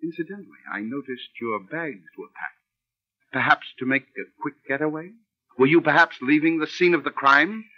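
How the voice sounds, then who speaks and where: A man's voice: a little high, moderate pace, natural voice. A man playing a scheming, self-righteous husband and playwright in old-time radio melodrama. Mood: coldly menacing. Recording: dull lo-fi old radio recording.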